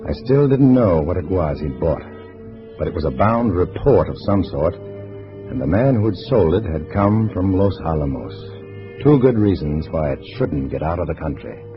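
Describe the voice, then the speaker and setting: An actor playing a tough undercover agent and narrator in a 1950s radio spy drama, with an American accent and clipped hard-boiled delivery. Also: male voice, low-pitched, very animated, moderate pace. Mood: tense, wry. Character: cool, tough undercover agent posing as a communist. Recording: dull old radio recording with music.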